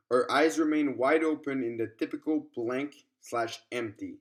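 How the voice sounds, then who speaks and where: A man narrating a scripted clinical report in a measured, formal manner, occasionally stumbling over lines. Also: mid-range voice. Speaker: narrator reading a document.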